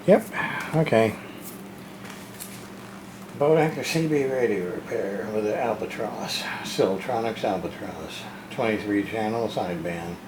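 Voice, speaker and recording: A man's voice: fairly low pitch, measured pace, background noise. A man casually narrating a hands-on unboxing as a radio repair hobbyist, talking to himself in folksy short fragments.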